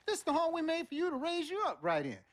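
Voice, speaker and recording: A man's voice: very high voice, wildly swooping pitch, fast, heavily acted. An actor playing a proud, stubborn, loving middle-aged New father, emphatic and plain-spoken. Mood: firm, proud.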